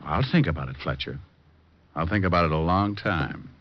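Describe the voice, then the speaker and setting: An actor playing a cocky, wry, hard-boiled American private detective in an old-time radio drama. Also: male voice, low voice, moderate pace, a little hiss or hum, lightly acted. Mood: tough, threatening. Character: wisecracking, cocky, tough male private detective. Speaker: lead actor and narrator of a radio detective show. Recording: dull old radio recording with music.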